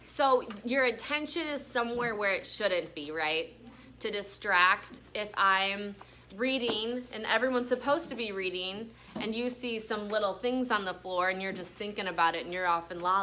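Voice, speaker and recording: A woman's voice: medium pitch, moderate pace, background noise. A woman teaching an elementary class, explaining words and reading aloud in an interactive way, often prompting with questions. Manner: prompting with questions and check-ins. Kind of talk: interactive classroom vocabulary lesson and read-aloud. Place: classroom with pupils.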